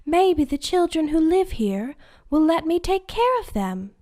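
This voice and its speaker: A woman voicing a sweet, cheerful young princess in a bedtime story, gentle and wide-eyed. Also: very high-pitched, big pitch swings, unhurried, voice pushed up, heavily acted.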